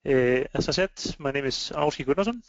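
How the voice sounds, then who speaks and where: Male webinar presenter, Icelandic accent, higher-than-average pitch, halting delivery, dry and slightly muffled online sound. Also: no echo. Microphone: online web meeting microphone.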